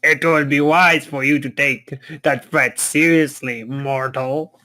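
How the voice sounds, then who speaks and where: An arrogant villain god, played by a man, speaking very slowly in a bright, forceful voice. He taunts grandly and menacingly, as in an amateur fantasy drama read. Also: on the high side, fairly even intonation. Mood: menacing, gloating.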